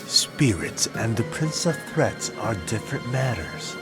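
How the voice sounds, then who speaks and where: Slow, taunting shady informant played by a man. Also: mid-range voice, sing-song, loud music behind the voice. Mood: sly, smug. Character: mocking, slippery adult male informant with shady air. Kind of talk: visual novel fan dub acting.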